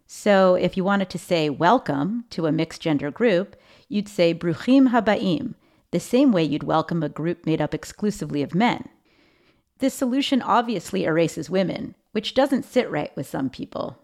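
A woman hosting a podcast, giving a clear scripted narration with light rhetorical questions. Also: lowish voice, expressive, normal speed, darkish tone. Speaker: podcast host.

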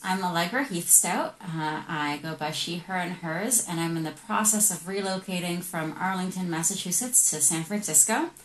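A woman in her thirties, a program director, introducing herself in a calm and deliberate way. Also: lowish voice, slight background noise. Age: 30s. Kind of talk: casual self-introduction. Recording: echoey room.

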